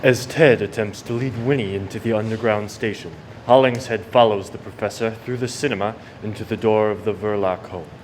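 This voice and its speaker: A man's voice: lowish voice, fairly even intonation, a little fast, few pauses, a little mellow, slight background noise. A man reading scripted narration for a radio play.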